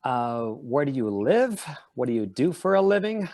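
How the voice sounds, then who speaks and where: A man hosting a live online panel, speaking in an earnest, measured way on a video call. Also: mid-range voice, very animated, darkish tone. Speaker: event host.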